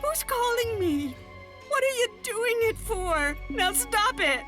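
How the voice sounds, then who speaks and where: A woman's voice: very high-pitched, animated, slow, over music, exaggerated voice. An actress playing a terrified, hysterical woman on the telephone in an old radio thriller.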